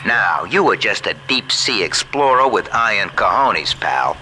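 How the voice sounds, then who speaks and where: Low, swooping slick wheeler-dealer. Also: male voice, sing-song, a little slow, background noise. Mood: slick, scheming. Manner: folksy, slick, theatrical. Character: smooth-talking male wheeler-dealer, folksy and scheming. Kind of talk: video game voice acting.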